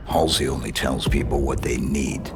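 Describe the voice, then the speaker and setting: An actor playing a stoic armored super-soldier in a video game, gravelly, terse and deadpan. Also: male voice, a bass voice, moderate pace. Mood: terse, calm. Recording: loud music behind.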